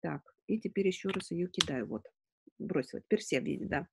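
A woman in her forties hosts an informal webinar over a video call, answering questions in a halting way as she thinks aloud. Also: lowish voice, expressive, noisy background. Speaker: webinar host. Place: indoors, room at home. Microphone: video call on a laptop.

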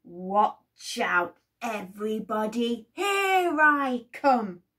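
A woman voicing a big, hungry, greedy giant with a booming, roaring delivery. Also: extremely slow, fairly bright tone.

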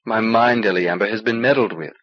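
A man's voice: on the low side, brisk, dry recording, natural voice. A man reading an audiobook as a stubborn young male traveler, earnest, insistent and questioning. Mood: uneasy. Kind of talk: audiobook character dialogue. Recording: dull old cassette transfer.

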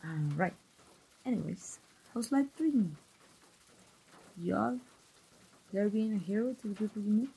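A young woman voicing an engaged woman confiding in an old friend, in a soft, breathy, close ASMR roleplay style. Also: medium pitch, unhurried, long pauses, full character voice, rough recording. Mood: playful, teasing. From Mexico.

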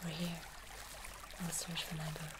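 A young woman playing a gentle, curious apprentice witch in a whispered fantasy ASMR roleplay, speaking softly and unhurriedly. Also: low-pitched, completely flat delivery, very noisy. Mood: hesitant, helpful. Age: young adult. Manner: soft, hushed, unhurried.

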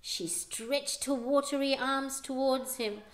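Slow, expressive middle-aged woman reading aloud. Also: high voice. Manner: deliberate, dramatic storytelling for young children. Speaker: teacher reading to children. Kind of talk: expressive picture-book read-aloud with explanatory asides. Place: living room at home.

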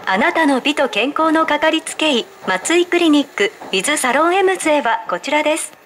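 A high female voice reads scripted transit announcements in a polite, formal, measured way. It comes over the speakers of a train car, with clear rumbling noise around it. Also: animated, a bit bright. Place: played over speakers inside a subway car. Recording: noisy train interior, constant rumble.

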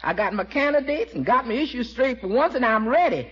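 An actress playing a determined, spirited housekeeper with a husky American voice and emphatic folksy grammar, in 1940s radio comedy. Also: female voice, fairly high pitch, expressive, brisk, a bit bright, slight background noise. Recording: dull lo-fi 1940s radio recording.

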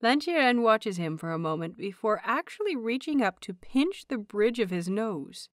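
A woman reading a story aloud as an audiobook narrator, in expressive scripted narration. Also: on the high side, moderate pace, no room sound, clean studio sound. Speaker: audiobook narrator of fan fiction.

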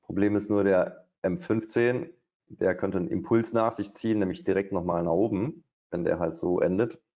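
A man's voice: low-pitched, steady tone, moderate pace, dark tone. A middle-aged man doing live trading commentary at his desk, thinking aloud with hesitations and fillers. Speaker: day trader. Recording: dull, lo-fi stream audio.

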